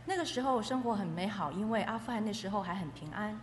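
A woman interprets a testimony phrase by phrase at a fast pace. Her pitch is medium, and her voice carries the reverb of a hall, with faint noise behind it. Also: lively intonation. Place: echoey hall.

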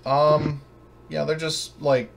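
A man narrating and refereeing a tabletop game as game master, halting and often correcting himself, over an online voice chat. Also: a little high, little pitch movement, creaky. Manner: halting, hedging, self-correcting. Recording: noisy online voice chat recording.

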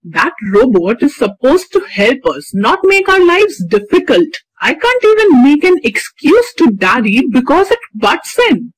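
A woman's voice: high-pitched, big pitch swings, a little slow, hardly any pauses, no echo, rough recording. A woman voicing a young, easily exasperated schoolboy with a complaining manner in a children's cartoon. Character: exasperated young schoolboy, whiny and excuse-making.

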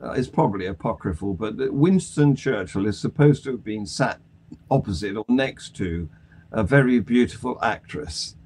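A man's voice: fairly low pitch, lively intonation, normal speed, a little mellow, slightly rough. Older British man, wry manner. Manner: wry, jokey. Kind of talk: casual panel discussion. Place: room at home. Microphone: video call.

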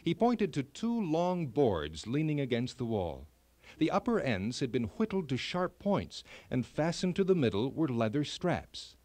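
A man narrating a scripted children's story as a storyteller, with expressive, clearly phrased reading. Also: mid-range voice, unhurried, dry recording.

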